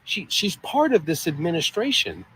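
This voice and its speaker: A man's voice: fairly high pitch, huge pitch swings, moderate pace, fairly bright tone, slight background noise, rough recording. A man giving political commentary in a sarcastic, exasperated way.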